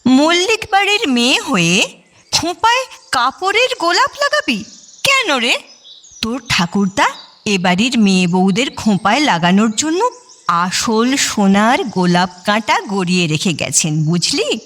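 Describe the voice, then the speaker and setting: A woman's voice: on the high side, very animated, unhurried, fairly bright tone. Proud mother, wildly swooping voice. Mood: proud chiding. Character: proud, emphatic mother of a wealthy household. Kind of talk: audio drama acting.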